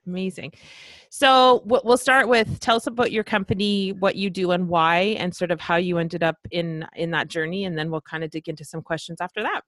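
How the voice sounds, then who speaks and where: A woman hosting a podcast, chatty and excitable, casually swearing as she talks. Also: on the low side, fairly quick, no echo. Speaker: podcast host. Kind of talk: casual interview chat.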